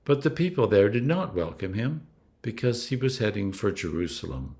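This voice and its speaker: A man reading scripture from a script as a clergyman, with a deliberate, dramatic storytelling delivery. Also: fairly low pitch, very animated, darkish tone.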